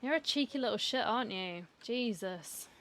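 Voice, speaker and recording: A woman's voice: fairly high pitch, lively intonation, normal speed, a bit bright, faint music behind. A woman with a British accent giving casual, dry and cheeky commentary while playing a game. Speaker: game streamer.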